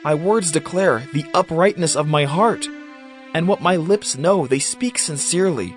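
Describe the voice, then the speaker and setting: A man's voice: a little high, normal speed, faint music behind, close to an everyday voice. An actor playing a fervent, indignant young man who rebukes his elders in a declamatory, insistent way, in a dramatized scripture reading. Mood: earnest challenge.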